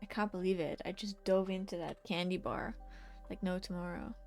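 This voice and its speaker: A woman's voice: fairly low pitch, moderate pace, vocal fry, a little mellow, faint music behind. A woman streaming while she paints, chatting casually with her viewers and often half-whispering to herself as she works. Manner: chatty, reacting to chat with laughs. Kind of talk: casual chat while drawing.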